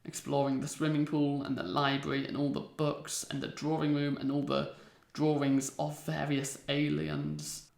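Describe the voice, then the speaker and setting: A man's voice: fairly high pitch, completely flat delivery, moderate pace, gravelly, a little mellow. A man giving casual interview answers as a fan audio drama cast member, in a roomy, echoing recording.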